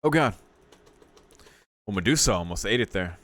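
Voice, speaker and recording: A man's voice: on the low side, animated, normal speed, fairly bright tone, dry recording. A man doing casual gameplay commentary as a video host, reacting with exclamations and mock exasperation.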